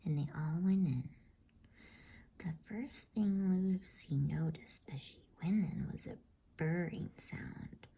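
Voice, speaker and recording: Woman, ASMR storyteller, hushed whisper, low gravelly edge, bedtime reading. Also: slow pace. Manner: soft whispering, soothing hush.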